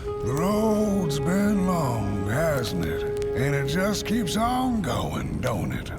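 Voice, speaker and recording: A kindly, folksy old road watchman from a video game. He drawls very slowly in a fairly high male voice that rises and falls freely, over prominent music. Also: huge pitch swings, exaggerated voice. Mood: gentle, welcoming. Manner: drawling, folksy, chuckling delivery.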